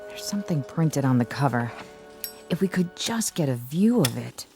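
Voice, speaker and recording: A woman's voice: very deep voice, big pitch swings, normal speed, over music, full character voice. A woman playing a curious, observant codebreaker's colleague in a radio drama.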